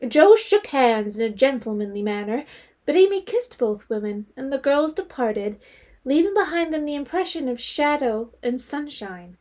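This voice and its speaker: An actress narrating a period novel in an audio drama as a wry, composed storyteller. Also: female voice, high voice, lively intonation, normal speed, dark tone.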